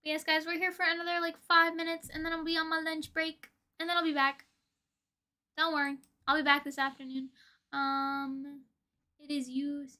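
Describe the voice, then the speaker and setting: A young woman talks casually to her livestream viewers. Her voice is very high and bright. She is playful and expressive, with plenty of slang in an informal, chatty flow. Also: moderate pace. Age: young adult. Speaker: livestream host. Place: indoor room with desk and shelves of collectibles.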